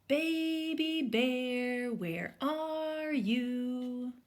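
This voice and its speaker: A doting storybook mother bear, acted out by a woman reader, with big swoops in pitch. She exclaims everything with motherly melodrama. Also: lively intonation, very slow, full character voice. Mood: calling out.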